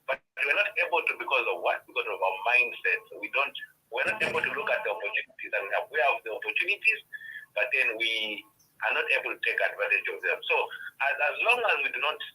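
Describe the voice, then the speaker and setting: A man's voice: mid-range voice, lively intonation, fast talker, pushed and bright, a little hiss or hum. A man from western Zambia with a Zambian English accent, calling in to argue his point earnestly, with many restarts. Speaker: caller. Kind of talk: phone-in comment. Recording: tinny phone line.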